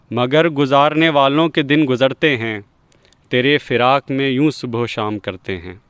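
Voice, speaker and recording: A man's voice: mid-range voice, a bit bright, clear, resonant tone, dry recording. A man presenting and reciting Urdu poetry.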